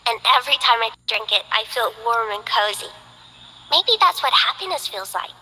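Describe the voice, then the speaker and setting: A gentle, shy young botanist girl from a game, soft-spoken and a little hesitant. Her voice sits high and bright with a breathy edge. It is heard with a long hall reverb. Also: animated, normal speed, slight background noise. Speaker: game character. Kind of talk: game voice lines.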